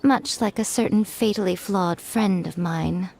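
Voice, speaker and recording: A woman's voice: on the low side, a little slow, creaky, darkish tone. An actress playing a sly, knowing woman who lectures with teasing wit and amused laughter in a video game. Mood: wry.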